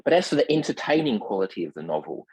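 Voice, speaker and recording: A man speaking as a guest translator in a scholarly discussion, formal in wording, with hesitant, measured phrasing full of ums. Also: on the low side, very animated, darkish tone, slightly rough, no echo, rough recording. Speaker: literary translator, podcast guest.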